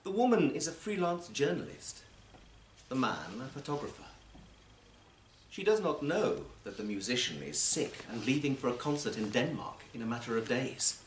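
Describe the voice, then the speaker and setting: A man narrates literary fiction with measured, dramatic phrasing. His mid-pitched voice moves expressively up and down. It is a live recording in a very roomy space. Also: very animated, a little hiss or hum. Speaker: actor and audiobook reader. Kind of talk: literary story reading, scripted narration. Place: performance space in a library, live event.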